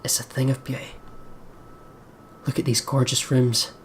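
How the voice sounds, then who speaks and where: A woman hosting a let's play, reading visual novel narration and reacting in casual, expressive, sweary commentary. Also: very deep voice, unhurried, slight background noise.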